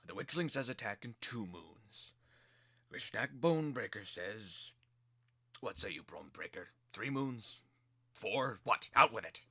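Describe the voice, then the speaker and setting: A man's voice: lowish voice, expressive, a little slow, bright, forceful tone, breathy edge, full character voice. A man voicing a gluttonous, tyrannical Rat King with an imperious, grumbling manner in a fantasy dramatic reading. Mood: irritated, commanding.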